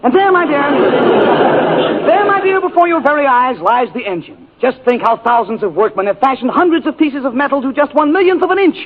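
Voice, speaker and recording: Wisecracking radio host, high voice. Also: male voice, sing-song, some chatter behind, slight background noise. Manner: quick, genial wisecracking. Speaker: show host. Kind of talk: 1940s radio comedy hosting. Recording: tinny radio broadcast, live audience.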